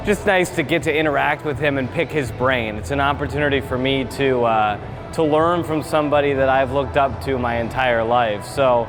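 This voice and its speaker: A man's voice: mid-range voice, normal speed. A man in his twenties, a sports broadcaster, casually answering interview questions about himself. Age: 20s. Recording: music laid under interview. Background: music.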